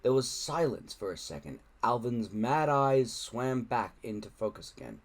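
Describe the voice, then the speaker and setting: A man reading a children's adventure book aloud in an expressive narrating style. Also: medium pitch, moderate pace, slightly rough. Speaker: audiobook-style reader.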